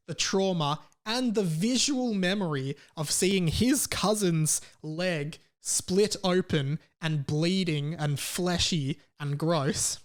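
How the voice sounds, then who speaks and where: A man hosting a podcast, telling a personal story casually and comedically, with dramatic emphasis and repetition. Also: sits high. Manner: casual swearing. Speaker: podcast host.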